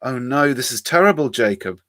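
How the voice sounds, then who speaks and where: A man voicing a sardonic young woman student who feigns helplessness in a flat, dry manner while reading a story. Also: mid-range voice, moderate pace, dry recording, pitched above the performer's own voice, lightly acted. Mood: mock alarm.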